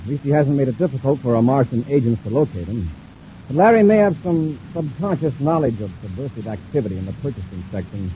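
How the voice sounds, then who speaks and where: An actor playing a confident, commanding space patrol commander in a brisk, authoritative 1950s radio serial style. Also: male voice, medium pitch, animated, dark tone, natural voice. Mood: brisk, assured. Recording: dull, hissy old radio recording.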